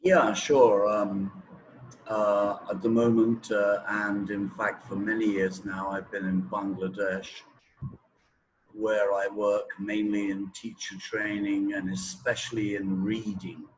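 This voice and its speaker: A man of sixty, a native English speaker, explaining his views in an interview in a halting, deliberate way, as if thinking aloud. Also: lowish voice, little pitch movement, a little hiss or hum, low-quality recording. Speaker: teacher trainer in reading, interview guest. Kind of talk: interview talk, explaining opinions.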